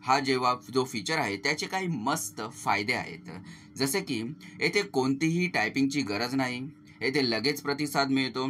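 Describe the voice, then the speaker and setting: A man's voice: mid-range voice, expressive, moderate pace, sharp tone. A man narrates a step-by-step tech tutorial as a channel host, explaining things to viewers as friends. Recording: clearly noisy voice-over recording.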